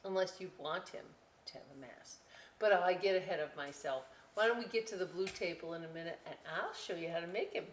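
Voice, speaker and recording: A woman hosting a children's storytime and craft session, chatty and expressive, speaking directly to young listeners. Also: on the low side, fairly quick, a little hiss or hum. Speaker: library storytime host. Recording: echoey room.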